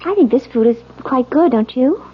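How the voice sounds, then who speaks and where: An actress playing a wary young American bride in a radio drama, hesitant and guardedly polite. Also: female voice, high voice, lively intonation, normal speed, slightly rough. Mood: uneasy. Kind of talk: 1970s radio drama acting. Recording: dull radio recording with faint noise.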